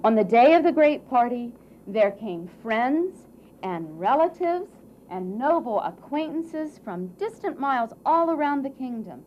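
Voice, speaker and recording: High-voiced, animated woman storyteller. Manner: theatrical, deliberate, coaxing audience questions. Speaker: puppet show storyteller. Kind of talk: expressive live storytelling for children. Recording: dull, faintly noisy live recording.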